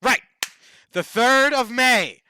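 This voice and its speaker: A man's voice: very high voice, sharp tone, a little hiss or hum. A man co-hosting a gaming podcast, chatting casually in a rambling, playful way. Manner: rambling, playful, quick to laugh. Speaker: podcast co-host.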